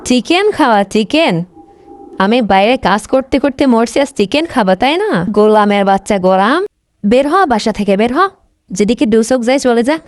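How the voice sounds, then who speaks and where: A woman's voice: fairly high pitch, animated, moderate pace, no room sound, natural voice. A woman voicing a mean, sarcastic, scolding village stepmother in a fairy-tale cartoon. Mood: furious.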